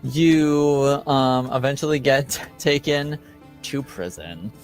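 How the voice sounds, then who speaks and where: Male game master, mid-range voice, unhurried and hesitant, improvising tabletop fantasy narration. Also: mid-range voice, soft background music. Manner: halting, hesitant delivery.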